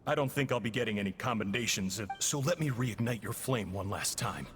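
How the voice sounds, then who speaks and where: An actor playing a smug, coolly amused villainous agent in a video game, talking in a sardonic, theatrical manner. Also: male voice, on the low side, expressive, brisk, fairly bright tone. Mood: grandly commanding. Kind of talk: dramatic video game voice acting. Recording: long reverb, faint music.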